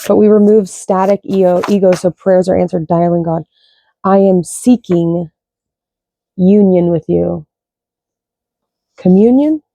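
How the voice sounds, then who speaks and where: A woman with a low voice, chatting loosely and rambling as she reads cards, swearing and thinking aloud. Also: unhurried, creaky, pauses often, dark tone, no echo, poor audio. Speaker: card reader. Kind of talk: casual rambling spiritual chat.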